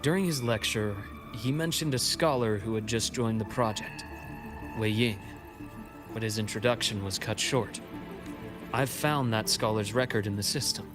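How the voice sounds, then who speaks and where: An actor playing a composed, analytical male investigator in a video game, speaking in measured, deliberate sentences. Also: fairly low pitch, music in the background, lightly acted. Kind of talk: scripted video game dialogue.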